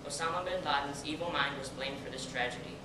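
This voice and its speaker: Quick young student narrator, echoey room. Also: mid-range voice. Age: child, about eleven or twelve. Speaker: student presenter. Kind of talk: rehearsed scripted narration. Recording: echoey room, distant mic, background noise.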